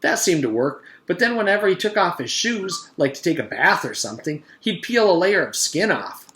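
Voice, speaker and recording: An eager schoolboy played by a man spins a boastful tall tale in a storybook reading. His pitch is lifted well above an everyday voice, and he is animated and earnest. The room adds audible echo. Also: moderate pace, slight background noise, exaggerated voice. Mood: eager, explaining.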